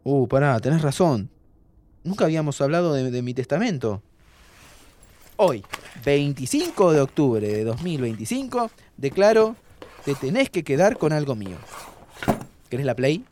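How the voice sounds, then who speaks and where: Animated, playful young Argentine man. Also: on the high side, normal speed, long pauses, a little hiss or hum. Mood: tender, teasing. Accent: Argentine Rioplatense. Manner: bantering, joking through emotional moments. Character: playful, wisecracking young man, loyal best friend, terminally ill. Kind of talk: naturalistic audio fiction acting.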